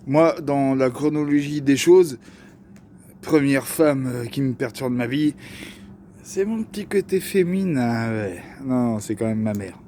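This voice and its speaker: A young man with a Parisian accent, talking casually to camera in a slangy, joking way with plenty of fillers. His voice is dark and mellow, with a faint hum of room noise behind him. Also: medium pitch, expressive, measured pace. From Paris region. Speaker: vlogger.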